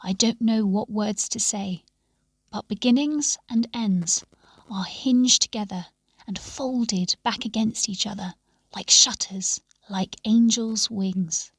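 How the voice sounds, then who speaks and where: A woman narrates a story at a slow, deliberate pace. Her delivery is expressive and measured, often softening into a hush at the ends of phrases. The recording is close and dry. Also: medium pitch, slightly rough, no room sound. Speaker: story reader.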